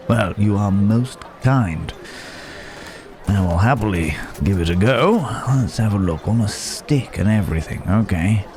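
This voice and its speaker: An actor playing a lonely, courteous werewolf traveller in a fantasy ASMR roleplay, musing hesitantly in old-fashioned phrasing. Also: male voice, lowish voice, expressive, measured pace, darkish tone, soft background music. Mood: curious, delighted. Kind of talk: immersive ASMR roleplay, improvised-sounding monologue. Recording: outdoor market crowd noise behind voice. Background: bustling village market ambience.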